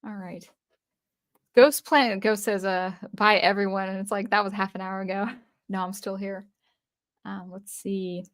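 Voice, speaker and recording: A woman's voice: mid-range voice, little pitch movement, moderate pace, a bit bright. A woman from the US Northwest hosting a casual livestream, reading viewer comments aloud and answering them in a chatty way with frequent restarts. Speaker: livestream host.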